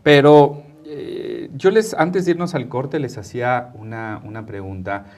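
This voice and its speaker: Mexican male interviewer, conversational, halting phrasing, medium pitch. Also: darkish tone. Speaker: interviewer, talk show host.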